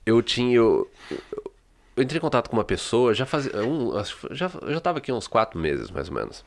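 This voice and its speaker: A man's voice: medium pitch, very animated, brisk, gravelly. A Brazilian man co-hosting a podcast, telling stories in a rambling, casual way with many restarts. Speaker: podcast co-host. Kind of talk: casual chat. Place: home.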